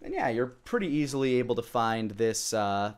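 A man in his thirties improvising narration as a dungeon master, pausing to think as he goes. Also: mid-range voice, big pitch swings. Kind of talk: improvised tabletop game narration.

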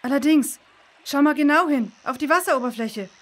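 An actress plays a busy woman scientist in a children's radio play. Her voice sits a little high and she sounds urgent and practical. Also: brisk, close to an everyday voice. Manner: uses folksy idioms. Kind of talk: children's audio drama acting.

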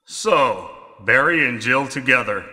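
Brief: accusing male captain, extremely sharp, forceful voice, stilted retro game delivery, cavernous reverb. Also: medium pitch, lively intonation, a little slow. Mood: bitter, grave. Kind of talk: 1990s video game voice acting.

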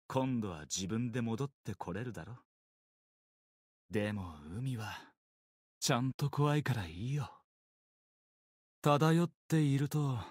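A man's voice: medium pitch, no echo, clean studio sound. A man playing an easygoing, teasing young swordsman in a mobile game, with a casual, playful drawl. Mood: laid-back, teasing. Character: easygoing, teasing young swordsman, laid-back surfer temper. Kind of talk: Japanese mobile game character voice lines.

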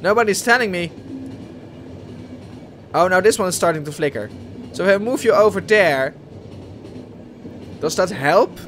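A man's voice: high-pitched, expressive, normal speed, long pauses, fairly bright tone, a little hiss or hum. A man in his thirties with a Dutch accent, playing a video game on a headset mic at home, thinking aloud and reading game text. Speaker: gaming video host. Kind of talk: let's play commentary. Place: home room at a desk.

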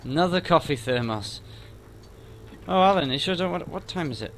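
A man's voice: on the high side, expressive, normal speed, a little hiss or hum. A man giving casual, jokey gameplay commentary, chatting to the characters as he goes. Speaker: video game streamer. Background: game sounds and music.

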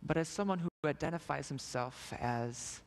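A man's voice: fairly high pitch, normal speed. A young man preaching a sermon, telling the story while walking the stage of a large church sanctuary. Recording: long reverb of a church hall.

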